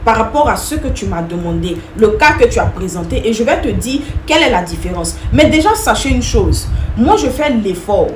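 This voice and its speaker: Lady in her 30s talking straight to viewers, keeps repeating points, kinda high voice, echoey room with a bit of hiss. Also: animated, moderate pace, few pauses. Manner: emphatic, repetitive, addresses viewers directly. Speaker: vlogger giving advice. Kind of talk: direct-to-camera opinion talk. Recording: echoey room with faint noise.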